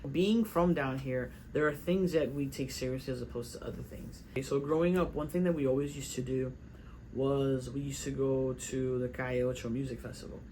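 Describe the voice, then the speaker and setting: A man's voice: medium pitch, fairly even intonation, brisk, a little mellow, a little hiss or hum, poor audio. A young man, a rapper from South Florida talking casually and at length in his recording studio, rambling and repeating himself. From Miami, South Florida. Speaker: rapper and vlogger. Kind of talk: casual studio vlog talk.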